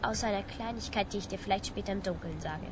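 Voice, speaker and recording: A woman's voice: mid-range voice, quick pace, close to an everyday voice. An actress playing a wilful, bratty adolescent girl in a radio drama, pert, teasing and bossy. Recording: clearly noisy ambience behind.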